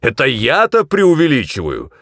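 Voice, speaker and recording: A man voicing a vain, explosive male demon mentor in an audiobook, with a gruff, barking, indignant manner. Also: deep voice, very animated, normal speed, no echo, studio quality. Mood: grumbling, indignant.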